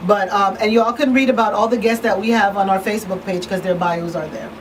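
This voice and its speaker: A woman's voice: mid-range voice, fairly even intonation, fast talker. A woman presenting a live talk show, partly from a script, often repeating words for emphasis. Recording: slightly distant, faint background noise.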